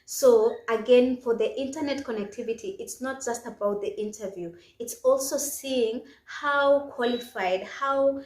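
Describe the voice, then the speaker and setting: A woman with a Kenyan accent giving casual, instructional career advice as a video host, stressing her points emphatically. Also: a little high. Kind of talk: casual instructional vlog talk. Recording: echoey, roomy sound.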